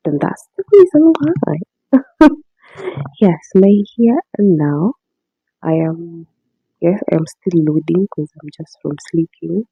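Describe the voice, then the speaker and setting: A young Kenyan woman hosting her diary podcast, talking casually in a raspy voice because she has just woken up, soft-spoken and rambling with frequent laughs. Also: on the low side, no room sound, narrow, tinny audio. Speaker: podcast host. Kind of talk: casual diary-style monologue.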